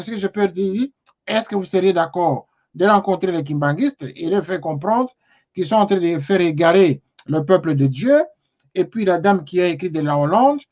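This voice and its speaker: A man hosting a TV talk show, speaking haltingly with frequent pauses. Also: high voice, dull audio. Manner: hesitant, many fillers and restarts. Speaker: TV show host.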